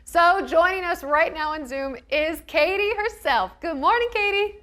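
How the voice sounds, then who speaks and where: A woman's voice: very high voice, a little slow, pushed and bright. A woman with a Minnesotan accent hosting a morning show, bantering with an upbeat, laughing manner. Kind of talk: casual chat.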